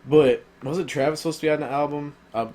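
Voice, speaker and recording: A man's voice: on the high side, fairly even intonation, fast, a little mellow. A man giving a casual, rambling spoken review, full of ums and uhs, who says he is losing his voice. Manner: rambling, filler-heavy, opinionated. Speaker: album reviewer.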